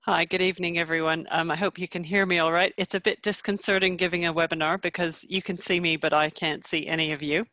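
A woman's voice: fairly low pitch, steady tone, quick pace, hardly any pauses, bright, forceful tone, no room sound. A woman in her thirties, from Canada with a Canadian accent, giving a medical webinar from home over a video call, friendly and full of ums. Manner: friendly, many ums, self-deprecating. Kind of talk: medical lecture. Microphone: headset.

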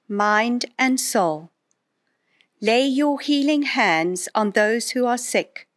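Aussie woman reading church prayers, really unhurried and solemn, voice a bit on the high side. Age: middle-aged. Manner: measured, solemn phrasing. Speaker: prayer leader in church service. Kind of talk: reading scripture and formal prayers aloud. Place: indoors in a chapel, at a lectern. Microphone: gooseneck lectern microphone.

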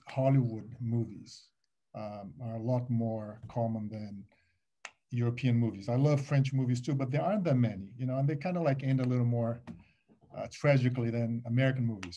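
Mellow-voiced middle-aged man on a video call. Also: mid-range voice. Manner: animated, gesturing, restarts and many fillers. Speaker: alumni panelist. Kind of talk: online panel talk. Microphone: laptop webcam on a video call.

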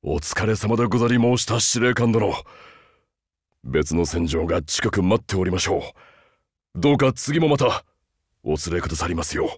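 A man's voice: sits low, lively intonation, moderate pace, slightly rough, dry recording, clean studio sound. A man voicing a huge, loyal, humble beast-man soldier in a game, haunted and earnest, speaking with stiff archaic military formality. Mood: humble, grateful. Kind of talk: Japanese game character voice acting.

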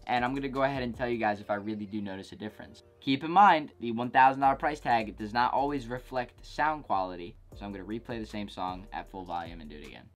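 A man's voice: on the low side, fast talker, few pauses, faint music behind. A young man hosting a casual product review vlog from his bedroom couch, chatty and jokey, full of "like" and "go ahead". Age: young adult. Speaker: tech reviewer, channel host.

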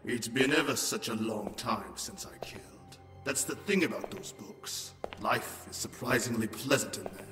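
An actor playing a vengeful, murderous male prisoner who whispers threats and shouts, in an amateur audio drama. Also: mid-range voice, normal speed, hardly any pauses, natural voice. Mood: menacing. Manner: menacing, whispering then shouting. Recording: echoey reverberant room with music.

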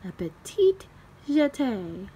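A woman's voice: fairly high pitch, big pitch swings, takes it slowly, mellow, slight background noise, rough recording. A woman voicing a graceful, encouraging female gazelle who teaches ballet in a children's storybook, poised and instructive. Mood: welcoming.

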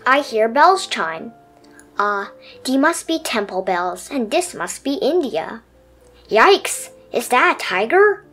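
A woman voicing a dreamy, adventurous little pet turtle in an expressive storybook telling. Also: fairly high pitch, slow, soft background music, full character voice. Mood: dreamy, wondering.

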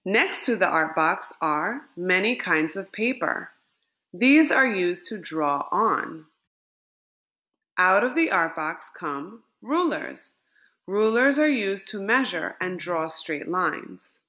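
Slow, lilting woman reading aloud. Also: on the low side. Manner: slow, lilting and expressive, lifting words in lists. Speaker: storybook reader. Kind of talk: children's picture book read-aloud.

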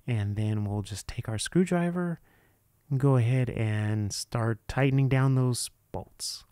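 A man's voice: mid-range voice, a little slow, mellow, smooth, no room sound, clean studio sound. A man narrating a hands-on how-to in an informal, unscripted way, explaining each step casually. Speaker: presenter of a DIY how-to video.

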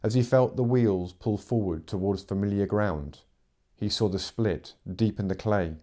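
Middle-aged man, dramatic story narration, low pitch, dark mellow tone. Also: a little slow. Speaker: narrator reading fiction extracts. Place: living room, seated in armchair.

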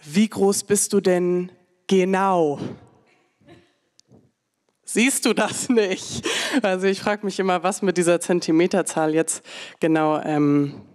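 A young woman performing her own poem at a poetry slam on a dark stage, delivered rhythmically and ironically into a stand microphone. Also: lowish voice, fairly even intonation, slow pace, pauses often, long reverb tail. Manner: rhythmic, ironic, rising to intense. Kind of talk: spoken word performance.

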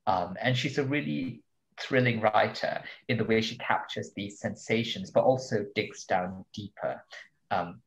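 A man's voice: lowish voice, a bit bright, rough recording. A male translator guest talking about books in a thoughtful, hesitant way with many ums. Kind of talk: casual podcast interview.

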